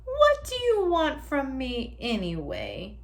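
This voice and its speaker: A woman voicing a brave little old lady from a children's storybook, loud and defiant. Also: high-pitched, wildly swooping pitch, takes it slowly, vocal fry, voice pushed up, exaggerated voice.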